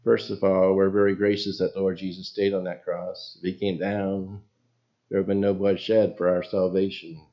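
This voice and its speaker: A man with a very low voice leading prayer and giving an informal sermon in a small church, reading scripture and losing his place now and then. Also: level tone, normal speed, muffled recording. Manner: folksy, loses his place and apologizes. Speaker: lay preacher. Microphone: PA microphone.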